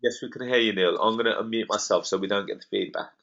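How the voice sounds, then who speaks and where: Croaky man hosting a webinar. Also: fairly low pitch, fairly even intonation, quick pace, fairly bright tone. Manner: confident, salesy, emphatic. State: slightly croaky. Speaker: webinar host. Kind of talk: online property webinar talk. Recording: dull lo-fi web call audio.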